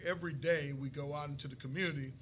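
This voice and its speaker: A man from Trinidad and Tobago, a pastor, talking as a guest in a polite, hesitant way with many pauses and fillers. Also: fairly high pitch, steady tone, a bit bright, slight background noise. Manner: polite, keeps saying yes sir, hesitant with many uhs. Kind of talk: interview guest.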